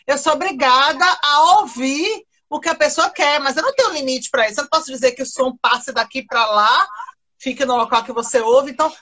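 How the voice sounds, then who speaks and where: A woman's voice: high-pitched, a little fast, bright, forceful tone. A woman with a Brazilian accent from Salvador, speaking as a radio guest, earnestly arguing through everyday examples. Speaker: radio guest, acoustics professional. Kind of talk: conversational radio discussion.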